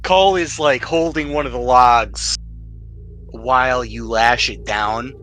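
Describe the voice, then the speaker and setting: Bright-voiced, unhurried man running a game. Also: mid-range voice, faint music behind. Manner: jokey, laughs often while talking. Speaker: game master. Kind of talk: casual tabletop roleplay narration.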